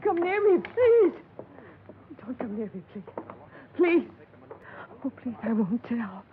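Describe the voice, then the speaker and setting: High, terrified, pleading woman. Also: very animated, slow. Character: terrified, beaten wealthy widow, pleading. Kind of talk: 1950s radio melodrama acting. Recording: tinny, noisy old radio recording.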